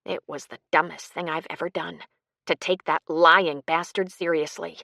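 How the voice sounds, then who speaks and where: A woman's voice: on the low side, fairly even intonation, moderate pace, creaky, bright, forceful tone, dry recording, studio quality. A woman voicing an embarrassed, bitter older woman who confides defensively in an audiobook story.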